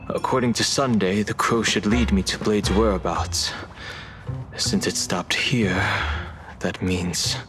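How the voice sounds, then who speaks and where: An actor playing a solemn, restrained warrior in a video game, speaking gravely and deliberately. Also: male voice, on the low side. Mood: pensive. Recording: music behind the voice.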